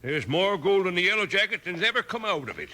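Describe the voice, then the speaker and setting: An actor playing a crusty, loyal old miner in a western radio drama, with a raspy voice and a folksy rustic drawl. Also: male voice, high voice, sing-song, fast, sharp tone, full character voice. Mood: conspiratorial. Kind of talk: 1950s western radio acting. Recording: vintage 1950s radio recording.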